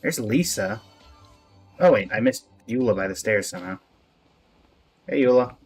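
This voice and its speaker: A man's voice: fairly low pitch, lively intonation, normal speed, a little mellow, slightly rough, faint music behind. A man streaming a video game with casual, chatty commentary.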